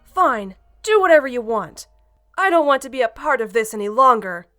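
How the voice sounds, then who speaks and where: Exasperated bat woman played by an actress: high, expressive, frank, reproachful, audio drama. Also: normal speed, faint music behind. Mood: hurt. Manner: reproachful, emphatic. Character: frank, exasperated adult female bat, secretly caring.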